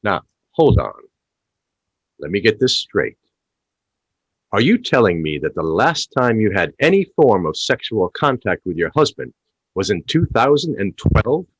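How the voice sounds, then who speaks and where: Low male voice, actor as cheeky phone-in host, husky, wry, theatrical, close dry sound. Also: sing-song, measured pace, pauses often, no room sound, exaggerated voice, poor audio. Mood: incredulous. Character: cheeky, incredulous British radio phone-in host. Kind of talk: radio play comedy acting.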